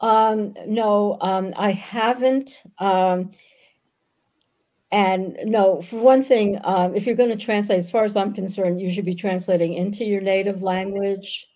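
An older woman whose first language was Yiddish, speaking as a guest author on a video call from a book-lined room, pausing often with ums. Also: mid-range voice, steady tone, warm tone. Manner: thoughtful, frequent ums. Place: home room with bookshelves.

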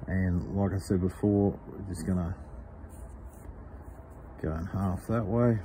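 A middle-aged Australian man, a knife maker, explaining his work step by step in a relaxed, practical way while he works at the bench. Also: low-pitched, slow pace, slight background noise, rough recording. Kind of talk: workshop tutorial voice-over. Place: home workshop.

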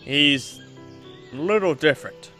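A man playing an easygoing but firm father, a veteran exorcist in a Minecraft roleplay, talking in a relaxed, reassuring way. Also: on the high side, a little slow, soft background music. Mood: calm, fatherly. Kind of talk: improvised Minecraft anime roleplay.

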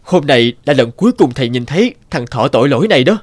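An actor playing a troubled, remorseful young male ex-convict student in an animated drama. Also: medium pitch, fast, lightly acted. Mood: remorseful, earnest.

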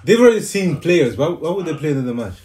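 A man, chatting casually in an excitable, slangy, repetitive way. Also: on the high side, fast. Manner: excitable, slangy, repeats phrases. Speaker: reaction video host. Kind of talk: casual group reaction chat. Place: small living room, on a sofa.

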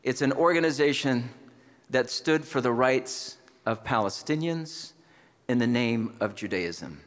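A man's voice: medium pitch, expressive. A middle-aged man hosting an awards dinner, speaking emphatically from a podium in a large banquet hall. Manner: emphatic, slow, builds to proud introductions. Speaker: event host presenting an award. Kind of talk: rousing speech at a podium. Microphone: podium microphone. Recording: long hall reverb with faint crowd.

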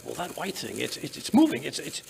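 An older man playing an excitable, blustering gentleman doctor and detective's sidekick, stammering in old-time radio style. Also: fairly high pitch, brisk, creaky, slight background noise, full character voice. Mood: alarmed. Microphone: shared stage microphone.

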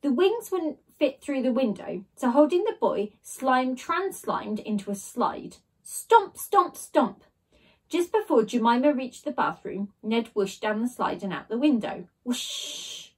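Woman teacher reading kids book at home, very expressive, bit husky. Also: fairly high pitch, measured pace, poor audio. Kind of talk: expressive storybook reading with casual chat. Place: small bedroom at home, indoors. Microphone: device camera at desk distance.

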